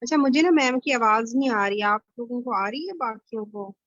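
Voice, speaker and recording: A woman's voice: a little high, a little fast, a bit bright, no room sound. Woman teacher on a dull online call. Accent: Pakistani. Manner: explains patiently, many fillers. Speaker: IELTS teacher. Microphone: video call.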